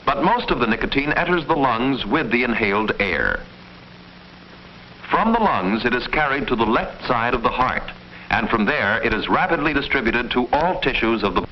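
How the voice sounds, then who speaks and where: A man reading formal, measured, didactic scripted narration in the style of a mid-century educational film. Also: medium pitch, very animated. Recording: muffled, noisy old film soundtrack.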